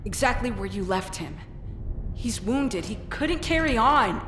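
An actress playing a serious, direct young special agent in a dramatic video game cutscene. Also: female voice, on the high side, expressive, measured pace, slight background noise. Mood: guarded. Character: determined, questioning female special agent, tense and loyal. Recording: echoey reverb, loud music behind.

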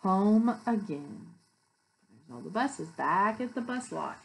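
A woman's voice: mid-range voice, sing-song, normal speed, vocal fry, fairly bright tone, poor audio. An American woman in her thirties, a preschool teacher reading a picture book aloud to young children in a sing-song, emphatic way. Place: small indoor room with painted block walls.

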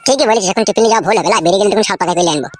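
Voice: mid-range voice, very fast, close to an everyday voice. A performer voicing a naive, food-loving young village son-in-law in a comedy cartoon. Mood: eager. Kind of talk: Bengali comedy cartoon dub.

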